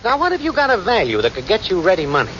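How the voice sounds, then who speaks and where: Work-shy smooth talker, punchy bright voice, glib and slangy, 1940s radio comedy, muffled with faint noise. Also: male voice, sits high, huge pitch swings, rapid-fire, full character voice. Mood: scheming, confident. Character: lazy, smooth-talking schemer boyfriend, shamelessly self-serving.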